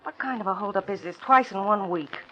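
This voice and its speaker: A woman's voice: mid-range voice, a little fast, pushed and bright, soft background music, natural voice. A woman playing a wary, hard-boiled young waitress in a radio suspense drama, clipped and guarded. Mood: brusque, wary. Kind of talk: 1950s radio suspense acting. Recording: dull old radio recording.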